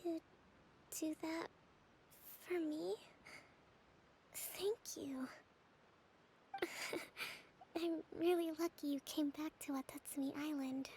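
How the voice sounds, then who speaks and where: A woman voicing a gentle, tired young priestess leader in a game, speaking softly, often in a breathy near-whisper. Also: high voice, fairly even intonation, slow pace, pauses often, slight background noise. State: worn out. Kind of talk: game voice acting.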